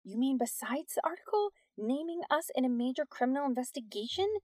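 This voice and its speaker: Woman as high-pitched, panicky teenage girl. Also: lively intonation, fairly quick, a little mellow, no room sound, clean studio sound. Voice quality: strained. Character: anxious, exasperated fifteen-year-old girl student.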